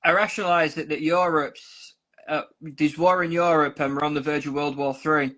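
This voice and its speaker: A man with a Manchester accent talks loosely over a video call. He rambles, doubles back on his words and wanders into asides. His voice sits a little high for a man. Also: fairly even intonation, normal speed, fairly bright tone. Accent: Manchester English. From South Manchester, England. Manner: rambling, with frequent restarts and asides. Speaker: volunteer guest. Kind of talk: casual interview chat. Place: Ukraine.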